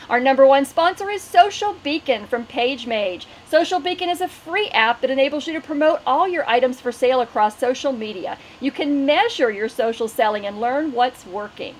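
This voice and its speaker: A woman's voice: high-pitched, a little hiss or hum, rough recording. A middle-aged woman hosting an online webinar and making announcements. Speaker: webinar host.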